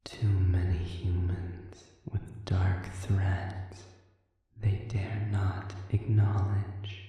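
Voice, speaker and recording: A performer voicing a sadistic, manipulative demon in a scripted audio roleplay, silkily taunting with slow, deliberate pauses and breathy near-whispers. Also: low voice, flat delivery. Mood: mocking, teasing. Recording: echoing hall reverb, distant dark sound.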